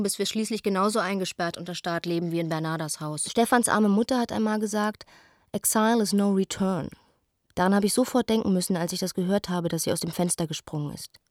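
A woman's voice: mid-range voice, no room sound, lightly acted. Eva, a bitter, reflective actress's woman letter-writer, close and dry. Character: Eva, young woman writing an anguished letter to a friend. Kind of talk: radio drama letter reading.

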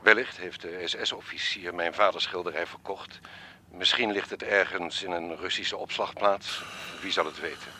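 An actor playing an elderly, courteous, wistful art collector in a radio drama, speaking in a formal, measured, reflective way. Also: male voice, low-pitched, fairly even intonation, sharp tone, husky, exaggerated voice. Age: 70s or older.

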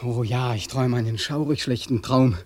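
Actor as husky, bitter young returned soldier. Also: male voice, medium pitch, fairly even intonation, moderate pace, darkish tone, close to an everyday voice. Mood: bitter despair. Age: young adult. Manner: impassioned, biting rhetorical questioning. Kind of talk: German radio drama acting.

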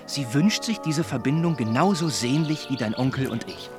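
Earnest young gentleman, played by a man, lively intonation. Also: medium pitch, over music, natural voice. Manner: measured, formal, persuading. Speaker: radio drama actor. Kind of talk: German radio drama dialogue.

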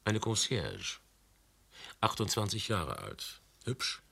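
Brusque, low-voiced police commissioner. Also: male voice, huge pitch swings, vocal fry, bright, forceful tone, husky, full character voice. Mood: dry, weary. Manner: commanding, sardonic, deliberate. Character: brusque, sardonic male police commissioner, middle-aged. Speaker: police commissioner questioning witnesses. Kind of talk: German radio play acting.

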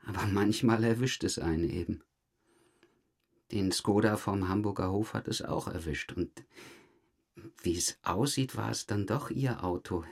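A police detective in a crime radio play. The voice is low and rough around the edges. He questions people in a measured, understated way, dryly polite but persistent. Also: full character voice. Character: methodical male police detective, calm, dryly insistent. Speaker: police investigator. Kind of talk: German audio crime drama.

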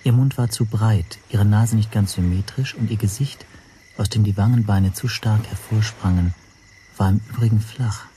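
An actor playing an observant language teacher who narrates his story in a measured, dryly descriptive way for a radio drama. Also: lowish voice, warm tone, a little hiss or hum, lightly acted.

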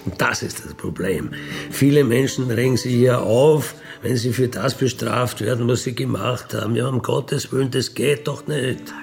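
A middle-aged or older man with a gravelly voice, telling personal stories casually and emphatically in colloquial speech, often checking for agreement. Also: mid-range voice, moderate pace, darkish tone, soft background music. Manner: colloquial, emphatic, seeks agreement with tag questions. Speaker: interviewee, career crook telling his life story.

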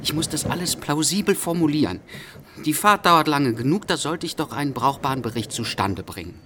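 A man's voice: on the high side, animated, a little fast, bright, forceful tone. Exasperated, pedantic male traveller, noisy backdrop. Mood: worried. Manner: wordy, precise, theatrically bewildered. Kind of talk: German radio drama acting.

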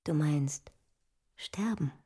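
A woman's voice: sits low, drawn-out words, slightly rough, heavily acted. An actress playing a pensive, questioning woman mathematician in a poetic, lyrical audio drama. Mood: questioning, wary. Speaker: performer in an experimental audio drama. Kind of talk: poetic theatrical dialogue with musical repetition. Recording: voice under loud music.

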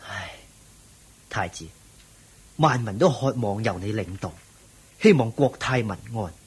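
Radio drama supporting role: a devoted, courtly royal retainer, Hong Kong Cantonese accent, lively intonation, very husky voice. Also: male voice, lowish voice, a little slow, heavily acted. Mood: respectfully pleading. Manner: courtly, expressive. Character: deferential, persuasive royal courtier devoted to his prince.

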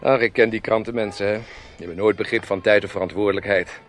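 A man's voice: lowish voice, steady tone, fast, faint music behind, lightly acted. An actor in a radio drama playing a jovial, courting oil salesman, courteous, chatty and effusive. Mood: jovial, forward. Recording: noisy old radio play recording.

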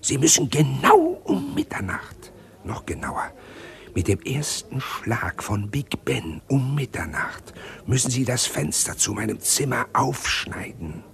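A man acting a scheming, respectable middle-class husband in a German radio crime drama, speaking precisely and insistently in a husky voice. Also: mid-range voice, wildly swooping pitch, measured pace, a bit bright, full character voice. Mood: insistent, conspiratorial. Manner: precise, insistent, well-spoken.

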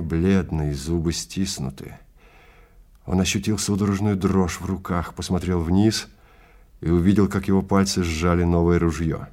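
A man narrating a science fiction story in a theatrical, deliberate style with weighty pauses. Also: deep voice, darkish tone. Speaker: narrator and reader of a dramatized story.

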